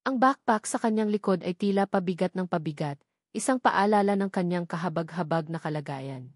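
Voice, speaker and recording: A woman reading a dramatic story in measured, scripted narration. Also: lowish voice, no room sound, clean studio sound.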